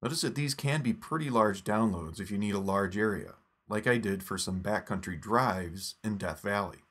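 A man narrating a scripted phone app tutorial in a steady, instructive step-by-step voice. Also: on the low side, moderate pace.

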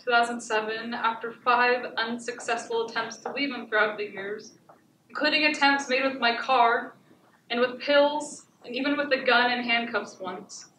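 A young woman playing a wounded but resolute survivor of domestic abuse in a dramatic stage monologue, with deliberate, declamatory phrasing. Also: a little high, pushed and bright. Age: young adult. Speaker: high school stage actor. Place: indoor theatre stage, far camera. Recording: echoey theatre, distant mic, faint noise.

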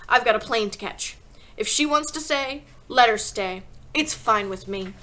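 A woman's voice: fairly high pitch, expressive, unhurried, pushed and bright. Woman putting on a brusque, impatient father. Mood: impatient, dismissive. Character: gruff, hurried, uncaring father.

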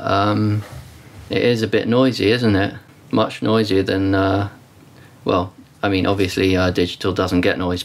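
This is a man with a fairly low voice and plenty of gravel in it. He talks casually, as an amateur radio hobbyist walking through a demo of his gear. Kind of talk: casual hands-on demo and narration.

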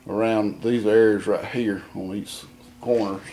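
A man talks through an engine rebuild in a garage workshop, plain-spoken and unhurried, thinking aloud as he works. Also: lowish voice, fairly even intonation, dark tone, slight background noise. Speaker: home mechanic demonstrating an engine rebuild. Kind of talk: casual hands-on how-to. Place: barn or garage workshop, indoors.

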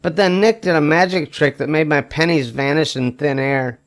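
Older man reading a storybook, slightly high voice. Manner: emphatic, with drawn-out wry punchlines. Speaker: storybook reader. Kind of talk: expressive read-aloud of a children's picture book.

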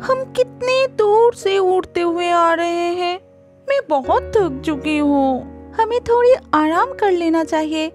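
Squeaky-high young cartoon fairy. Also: female voice, lively intonation, takes it slowly, soft background music, full character voice. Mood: weary, coaxing. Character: sweet, timid young red fairy turned mermaid. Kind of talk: children's cartoon voice acting.

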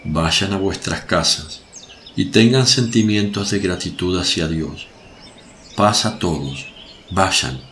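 Man acting compassionate male preacher, solemn, low-pitched, measured, religious radio play, faint birdsong noise. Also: a bit bright. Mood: grave.

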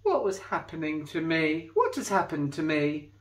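Storybook figure: aging male house cat, gentle and wistful, read by a woman, roomy sound. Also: low voice, sing-song, normal speed, a little hiss or hum. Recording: echoey room.

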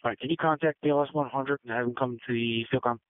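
A man's voice: mid-range voice, fairly even intonation, quick pace, dry recording, phone-quality sound. A man working as a field command officer, talking over a two-way radio. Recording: band-limited emergency radio transmission.

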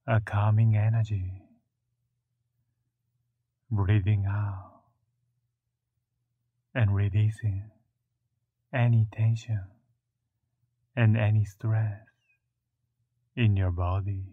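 Male meditation guide: very slow, even-toned, soothing delivery in short phrases with long pauses, through a thin phone-like recording. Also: on the low side, dry recording. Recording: muffled, narrow phone-like sound.

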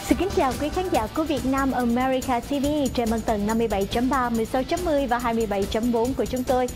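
A woman's voice: fairly high pitch, very fast. A middle-aged woman presenting a weather forecast in a polite, semi-scripted way in a TV studio. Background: background music.

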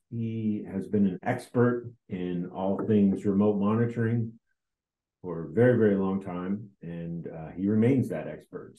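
A man's voice: low-pitched, narrow, tinny audio. A middle-aged man casually hosting a webinar over a video call, speaking informally. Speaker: webinar host. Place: indoor room on a video call. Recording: roomy, echoey video-call audio.

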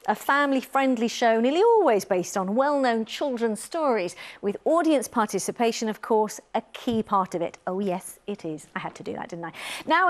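A woman presenting television news in a studio, reading scripted links with light asides. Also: on the high side.

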